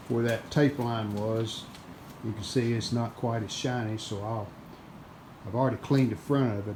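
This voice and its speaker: A man giving casual, plainspoken hands-on narration as the host of a repair video, unscripted and pausing mid-sentence. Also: fairly low pitch, darkish tone. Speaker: host of a radio restoration video channel. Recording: clear background noise, room ambience.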